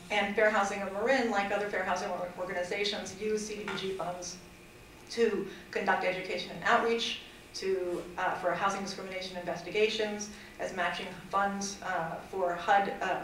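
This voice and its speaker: A woman's voice: medium pitch, steady tone, normal speed, a bit bright, slight background noise. A middle-aged woman advocating for fair housing at a public hearing, earnest and hesitant, with many fillers and restarts. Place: council chamber. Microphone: desk mic at a distance. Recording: echoey chamber, far from mic.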